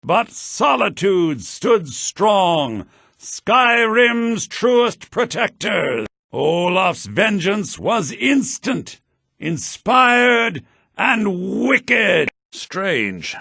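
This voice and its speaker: Earnest theatrical bard, husky and animated. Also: male voice, sits high, very slow, no room sound, studio quality, heavily acted. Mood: declaiming. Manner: formal, theatrical, articulate. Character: earnest, theatrical male head bard of a fantasy college. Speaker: game character, bard college leader. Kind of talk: fantasy video game character dialogue.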